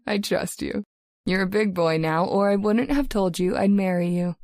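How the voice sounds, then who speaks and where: A woman playing an affectionate young fiancée in a radio drama, gentle and playful. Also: medium pitch, lively intonation, a little slow, dry recording, studio quality. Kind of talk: old-time radio drama acting.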